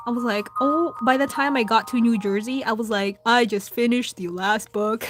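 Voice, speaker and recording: A young woman with a Filipino accent chatting casually with her livestream viewers while drawing, soft-spoken with lots of ums. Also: fairly high pitch. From Philippines. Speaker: livestream host. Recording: background music. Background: music.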